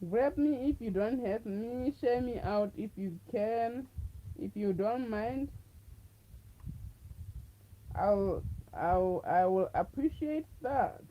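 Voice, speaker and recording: A woman with a deep voice hosting a livestream, making slow, repetitive, coaxing appeals to her viewers. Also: animated, muffled recording, a little hiss or hum. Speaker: livestream host. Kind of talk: casual livestream chat to viewers.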